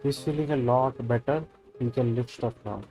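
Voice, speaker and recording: A man's voice: medium pitch, fairly even intonation, moderate pace, mellow, poor audio. A man with an Indian accent making casual reactions and reading along as he streams a game. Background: faint game music.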